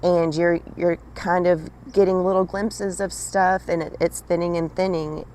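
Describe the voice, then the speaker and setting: Raspy woman giving a spiritual reading. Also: on the low side, level tone, a little slow, darkish tone. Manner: addresses listener directly, frequent "right?" and "okay?". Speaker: spiritual channeler. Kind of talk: casual spiritual monologue.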